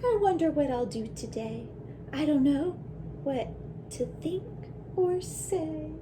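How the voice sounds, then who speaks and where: A woman's voice: sits high, expressive, takes it slowly, noisy background, voice pushed up. A woman voicing an imaginative little girl in a storybook, with a dramatic, emphatic delivery. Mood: nervous. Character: imaginative brave little girl starting school.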